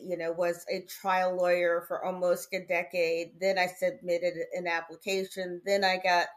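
A middle-aged woman who is a judge, raised in Connecticut, telling her story in a relaxed, articulate way as an interview guest. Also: on the low side. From Indiana, raised in Connecticut. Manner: articulate, measured, chatty with laughs.

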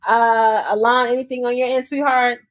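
Woman cohost, kinda high voice, teasing and playful, swears a bunch. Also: flat delivery, normal speed. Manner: playful, teasing, cursing freely. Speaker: podcast co-host. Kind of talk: casual chat.

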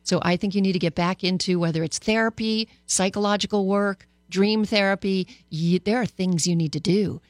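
A woman's voice: medium pitch, normal speed, few pauses, no room sound. Encouraging woman hosting a call-in show. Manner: warm, encouraging, animated advice giving. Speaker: radio host and psychologist. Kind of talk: call-in radio advice. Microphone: studio microphone.

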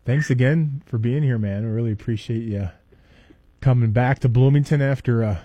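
A man's voice: medium pitch, normal speed, warm tone, no echo, muffled recording. Easygoing male promoter, dry studio sound. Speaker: concert promoter guest. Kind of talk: casual radio chat.

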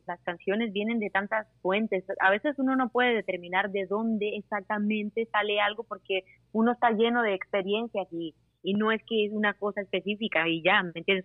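A woman's voice: medium pitch, dry recording. A woman singer-songwriter, raised in Costa Rica and Panama, interviewed over the phone and laughing often. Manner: laughs often, warm and upbeat. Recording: tinny phone line.

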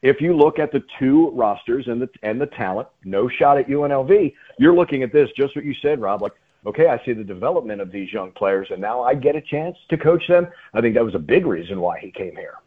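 Man on a thin phone-like line, husky. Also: medium pitch, expressive, a little fast. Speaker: radio sports play-by-play commentator, guest. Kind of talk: animated sports radio analysis. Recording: muffled phone-like radio line.

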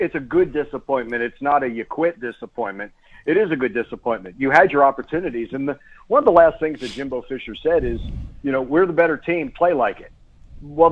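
Man on a tinny phone line, higher-pitched voice. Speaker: radio play-by-play sports announcer, guest. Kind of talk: casual sports interview analysis. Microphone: phone call. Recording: narrow phone-line sound on radio.

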